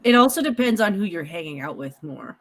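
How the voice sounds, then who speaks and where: A woman's voice: medium pitch, big pitch swings, brisk. Chatty woman co-host, lots of fillers. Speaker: podcast co-host. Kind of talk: casual chat.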